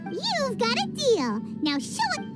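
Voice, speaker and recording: A woman's voice: very high-pitched, wildly swooping pitch, measured pace, full character voice. A woman voicing a small childlike fairy companion in a video game, chirpy, blunt and eager with questions. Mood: brisk, assertive. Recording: music playing behind the voice.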